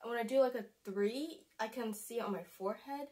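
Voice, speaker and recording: A woman's voice: on the high side, a little fast, darkish tone. A young woman with a stuffy, congested voice from a cold, chatting casually to camera as a beauty vlogger, rambling and correcting herself.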